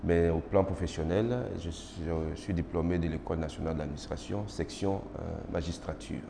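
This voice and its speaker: Gravelly deep-voiced Ivorian man. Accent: Ivorian French. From Côte d'Ivoire. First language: Baoulé. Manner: deliberate, measured, many hesitations and restarts. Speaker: interviewee, magistrate. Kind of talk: formal interview answers. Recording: noisy roomy recording with long reverb.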